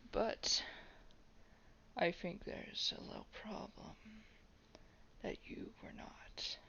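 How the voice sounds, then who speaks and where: A woman voicing a haughty, menacing old vampire in a roleplay audio drama, breathy and gravelly, drawing out words with soft condescension. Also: mid-range voice, steady tone, exaggerated voice. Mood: haughty, musing. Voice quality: breathy whisper with gravelly creak. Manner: slow, drawn-out, condescending, softly threatening. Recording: dull, lo-fi home microphone.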